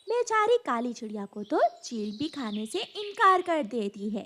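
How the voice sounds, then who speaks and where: A woman's voice: high voice, sing-song, measured pace, fairly bright tone, a little hiss or hum. A woman narrator reading a scripted children's cartoon story.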